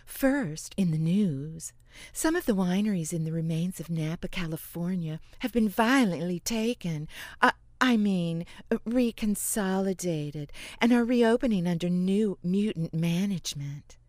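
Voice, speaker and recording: Radio comedy anchor, played by a woman: jaded, deadpan newsreading, slightly low, slow delivery. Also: dry recording, clean studio sound. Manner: measured, deadpan. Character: washed-up, long-suffering news anchor and doctor's assistant. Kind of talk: mock radio news reading.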